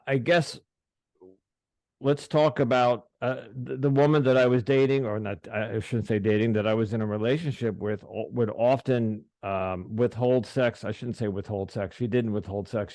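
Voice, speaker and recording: A middle-aged man hosting a podcast, speaking haltingly with many restarts as he opens up about his past. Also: fairly low pitch, darkish tone, dry recording. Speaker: podcast host. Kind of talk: casual interview, personal disclosure.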